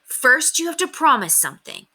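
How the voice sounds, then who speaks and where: Woman putting on an urgent, high-pitched storybook boy. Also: very animated, moderate pace, sharp tone, heavily acted. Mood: coaxing. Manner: urgent, coaxing, exclamatory. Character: desperate, scheming school-age boy trapped in clubhouse.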